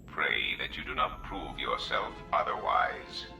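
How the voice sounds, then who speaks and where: A cold, calculating droid crime lord from a video game, with an extremely deep voice. The delivery is formal and menacing, with a robotic tint, echoing from a distance under music. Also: male voice, steady tone, measured pace, heavily acted. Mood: imperious, measured. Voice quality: very deep, gravelly.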